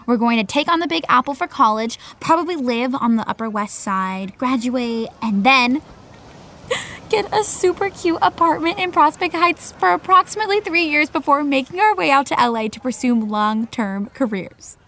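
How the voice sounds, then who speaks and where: A woman playing a blunt, starstruck high school senior girl in a naturalistic audio drama, with chatty, casual teen speech. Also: expressive, moderate pace, bright, forceful tone, full character voice. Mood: chatty, planning.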